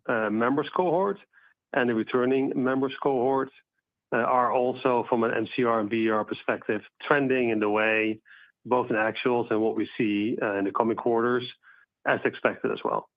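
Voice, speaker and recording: A man with a mid-range voice answers as an executive on an earnings call, in halting phrases. The recording is dry and a little muffled. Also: mid-range voice, steady tone, dry recording. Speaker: company executive. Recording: dry, dull conference call audio.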